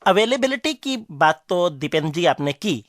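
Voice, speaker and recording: A man asking formal, clearly framed questions as a radio interviewer. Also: high-pitched, sing-song, unhurried, fairly bright tone, no echo, studio quality. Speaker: interviewer, show correspondent.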